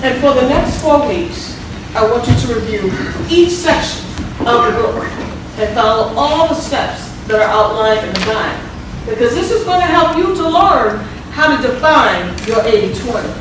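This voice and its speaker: A woman's voice: fairly high pitch, animated, normal speed, lightly acted. A woman playing a confident, preachy relationship counselor on stage, emphatic and instructive, with repeated commands. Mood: instructive, firm. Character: confident, preachy female relationship counselor with a self-help book. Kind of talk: live stage-play acting. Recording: echoey theater hall, distant mic, noisy.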